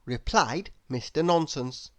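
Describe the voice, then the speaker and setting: A woman's voice: low voice, lively intonation, takes it slowly, a little mellow. A woman reading a children's story aloud expressively, putting questions to the listener. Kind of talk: children's read-aloud narration.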